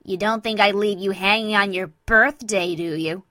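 A brash young cartoon mare, acted out by a woman narrator with a loud, exuberant delivery. She is playful and teasing, like a DJ character in an animated story. The sound is dry, with no room on it. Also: mid-range voice, normal speed, no echo, close to an everyday voice. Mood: brash, reassuring. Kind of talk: fan fiction character voice.

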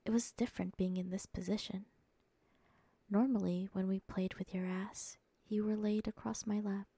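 A woman whispering an erotic story softly and dominantly into a close mic. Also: medium pitch, little pitch movement, moderate pace, breathy edge, no echo. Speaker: erotic audio narrator.

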